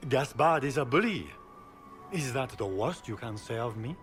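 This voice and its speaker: A proud aristocratic schemer in a fantasy game. He talks slowly and haughtily, weighing each phrase, over soft music. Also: mid-range voice, expressive. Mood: scornful.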